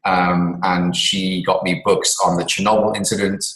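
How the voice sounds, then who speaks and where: A man's voice: low-pitched, flat delivery, moderate pace, fairly bright tone, echoey room, low-quality recording. A man from England, an author and scientist, answering interview questions at length with many ums and restarts.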